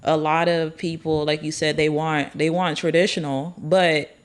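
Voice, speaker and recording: A woman's voice: sits low, little pitch movement, normal speed, clean tone. A thirty-year-old woman chatting casually as a podcast guest, blunt and slangy in how she talks. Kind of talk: casual conversational chat.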